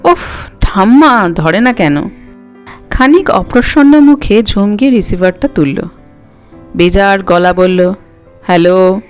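A woman's voice: medium pitch, expressive, takes it slowly, pauses often. A woman narrating a story expressively as an audio story host, with music behind her.

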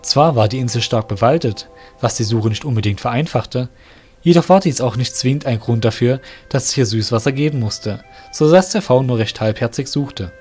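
A man reading the narration of an amateur radio play, in a steady, measured way. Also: medium pitch, slightly rough, faint music behind. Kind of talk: scripted storytelling narration.

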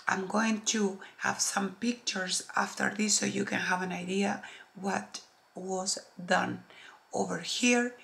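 Soft-spoken Chilean woman, slow and gravelly. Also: medium pitch, slight background noise. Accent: Chilean Spanish. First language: Spanish. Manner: slow, soft-spoken, drops to near-whispers, earnest. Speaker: makeup artist and beauty vlogger. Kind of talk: makeup tutorial chat to camera. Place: home studio with shelves of products, indoors. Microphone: camera close-up, upper body framing.

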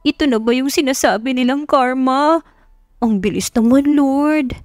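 A brash young woman in a romance audio drama acted by a woman narrator. Her voice is high and feisty, quick to panic. There is a roomy echo and music behind her. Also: a little mellow, clean studio sound. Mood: panicked whispering.

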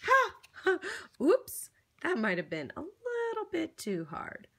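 Very animated, high-pitched woman reading to children. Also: takes it slowly, slight background noise. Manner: theatrical, with exaggerated gasps and exclamations; encouraging, coaxing, addressing the child listener. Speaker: children's storybook reader. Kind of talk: interactive picture-book read-aloud for young children.

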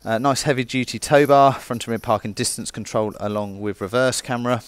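A man's voice: medium pitch, brisk, clear, resonant tone, no room sound. A British man giving a chatty, informal walkaround sales presentation as a car dealer. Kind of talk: walkaround sales presentation, semi-casual commentary. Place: indoor car showroom.

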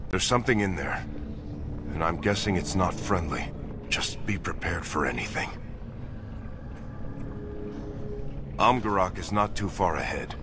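A man playing an earnest, grave dwarven nobleman in a fantasy game, speaking in a solemn, formal, measured way. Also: sits low, animated, background noise. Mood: wary. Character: earnest, grave dwarven nobleman seeking his lost brother. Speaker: video game character narrating and in dialogue. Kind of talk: dramatic scripted game dialogue and narration. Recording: game audio under loud music.

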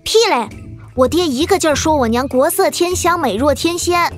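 Woman acting a high-pitched cheeky young girl. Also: normal speed, soft background music, natural voice. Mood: chatty, pouty. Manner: pouty, gossipy, exclaiming. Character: cheeky, chatty, spirited young girl in wuxia tale. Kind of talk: Chinese audio drama acting.

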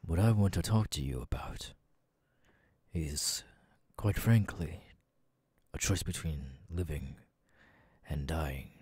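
A man voicing a menacing, haughty, sadistic demon king in an ASMR audio roleplay, soft-spoken and condescending. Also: a bass voice, slow, long pauses, husky, no room sound, studio quality, heavily acted. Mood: calm, threatening. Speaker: voice actor.

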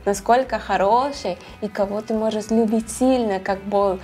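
A woman's voice: mid-range voice, fairly even intonation, moderate pace, darkish tone. A young woman with a foreign accent, not a native speaker, chatting casually as she reacts. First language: not Russian. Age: young adult. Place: small studio, seated at table. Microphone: lapel.

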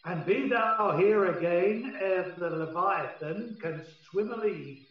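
A man's voice: high-pitched, measured pace. A man playing a scheming, haughty fairy king in a Shakespearean radio play, relishing each phrase theatrically. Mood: plotting, relishing. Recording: muffled, very echoey, distant from mic.

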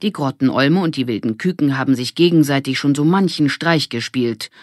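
A woman reading a children's audiobook as narrator, with expressive scripted storytelling. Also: a bass voice, brisk, dry recording, studio quality.

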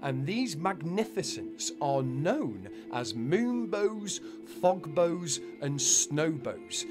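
A man reading a scripted explainer narration, with folksy, wonder-filled asides to listeners. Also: mid-range voice. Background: background music.

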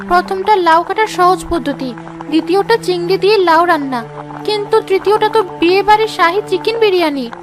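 A woman voicing an anxious, timid young daughter-in-law in a cartoon, fretful and full of self-doubt. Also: very high voice. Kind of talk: cartoon story voice acting.

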